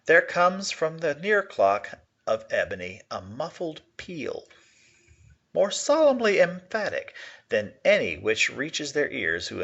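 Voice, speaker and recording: A man giving an expressive reading of a gothic short story, now and then stumbling and correcting himself. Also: medium pitch, muffled recording. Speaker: reader of a classic short story. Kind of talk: dramatic reading of a gothic tale.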